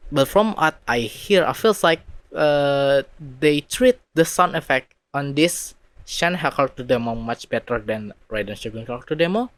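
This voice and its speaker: A woman giving casual reaction commentary on game videos, in halting non-native English with repeated phrases. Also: sits low, no room sound. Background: loud music from the watched video.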